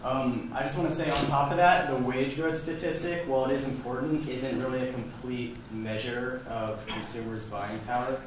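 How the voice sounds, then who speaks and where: A man's voice: medium pitch, steady tone, normal speed, few pauses. A man making a debate argument in a casual, fluent way, often saying "like". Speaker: student debater. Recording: echoey hall from far off, noisy.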